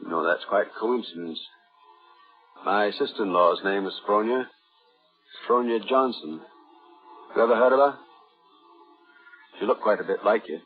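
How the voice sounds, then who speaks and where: An actor playing a plain-spoken, controlling hotel keeper courting in a vintage radio drama, folksy and clipped. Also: male voice, on the low side, normal speed, long pauses, husky, phone-quality sound, soft background music. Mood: folksy, sly. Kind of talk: 1950s radio drama acting. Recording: thin, band-limited vintage radio broadcast.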